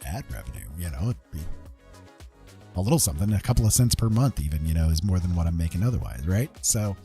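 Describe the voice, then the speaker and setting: A man talking casually and candidly as a livestream host, confiding in listeners in a halting, conversational way. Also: deep voice, animated, mellow, soft background music.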